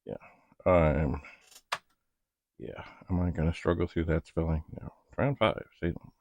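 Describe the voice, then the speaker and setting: A man thinking aloud in a halting, casual ramble as he hosts a hands-on hobby demonstration, muttering to himself. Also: extremely low pitch, fairly even intonation, darkish tone, dull audio, a little hiss or hum. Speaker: retro computer hobbyist host. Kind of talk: casual rambling demonstration while testing equipment.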